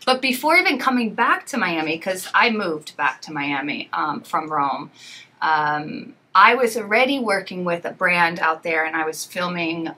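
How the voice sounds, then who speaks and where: Bright, chatty woman yoga teacher. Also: fairly low pitch, animated, moderate pace. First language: English. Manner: chatty, rambling storyteller full of fillers. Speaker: yoga teacher, podcast guest. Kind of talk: casual podcast conversation.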